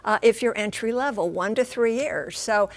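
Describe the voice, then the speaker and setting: A mature American woman correspondent explaining things on live TV news in an animated, folksy, emphatic way. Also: fairly high pitch, normal speed. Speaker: transportation correspondent.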